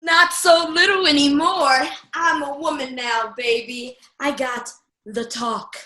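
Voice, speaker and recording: Cheeky grown-up fairy-tale girl played by a woman: very high, bright, eager, radio play, roomy sound. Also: steady tone, slow, heavily acted. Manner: cheeky, enthusiastic. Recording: echoey room recording.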